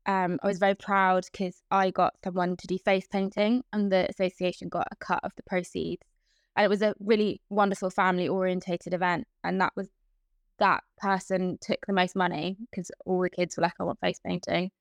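A woman's voice: lowish voice, flat delivery, normal speed, no room sound, studio quality. A young woman from Hong Kong, a guest in an interview, chatting about her path into events with frequent fillers and restarts. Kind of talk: casual interview.

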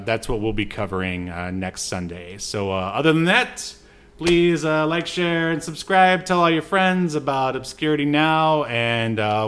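A man's voice: medium pitch, a bit bright. A man hosting a podcast in casual chat, with an easygoing, rambling way of talking. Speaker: podcast host.

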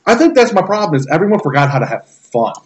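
A man's voice: on the high side, very animated, fast talker. A man in his thirties ranting casually as a podcast co-host, blunt, profane and irritable. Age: 30s. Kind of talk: casual opinionated rant.

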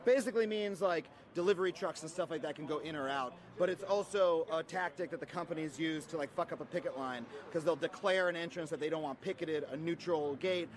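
A man's voice: a little high, a little fast, few pauses. A man giving a casual, emphatic, off-the-cuff explanation, recorded outdoors on the street. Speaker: picket line explainer. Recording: outdoor street clip with crowd noise. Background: crowd and street noise.

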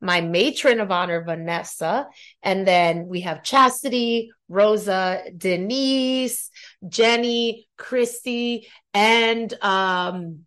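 A woman's voice: mid-range voice, slow, fairly bright tone. A woman in her forties chatting casually at home as a podcast guest, with easy laughter and the odd casual swear word. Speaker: podcast guest, host's fiancée. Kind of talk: casual chat. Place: home living room.

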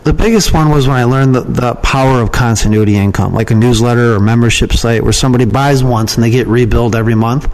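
A man speaks as an interview guest in a casual, conversational way. His delivery is informal and plain-spoken, with words often repeated for emphasis. The pitch sits in an ordinary middle range for a male voice. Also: fairly even intonation, fast talker, hardly any pauses, a little mellow, poor audio. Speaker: podcast guest, email marketer and copywriting trainer.

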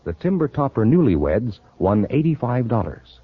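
A man with an American accent announces in an old-fashioned radio style. His delivery is very lively with a husky edge, and the recording is dull and dated. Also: medium pitch, moderate pace, no room sound. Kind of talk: 1950s radio announcing, prize plugs.

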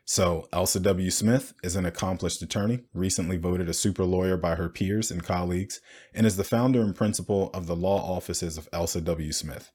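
A man with a very low voice hosts a finance podcast. He opens with a steady, explanatory read and then moves into easy conversation with his guest. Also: a little fast. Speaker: podcast host. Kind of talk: scripted intro then conversational interview.

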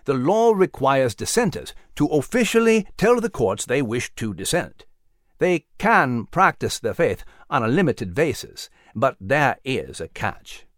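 A man's voice: medium pitch, sing-song, unhurried, no room sound, studio quality. A man voicing an erudite male animal scholar in an audiobook story, explaining history in a precise, proper lecturing manner. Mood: earnest, explaining.

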